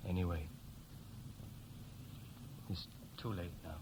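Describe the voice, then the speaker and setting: A man's voice: deep voice, fairly even intonation, slow pace. An actor playing a touchy, sullen young bridegroom resentful of his brother, in a 1960s radio play. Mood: bitter, sulky. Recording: hissy 1960s radio recording.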